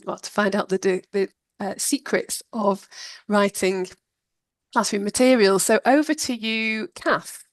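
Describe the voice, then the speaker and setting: A woman's voice: medium pitch, dry recording. A woman hosting a live webinar, upbeat and welcoming as she greets the audience and introduces the guests. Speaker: webinar host.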